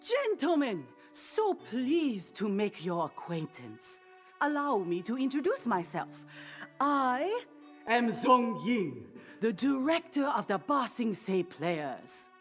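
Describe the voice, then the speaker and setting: A woman playing a gushing, self-important theater director in a video game, speaking with pompous, effusive flourishes and dramatic pauses. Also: on the high side, sing-song, faint music behind. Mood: grandly polite. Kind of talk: video game character acting.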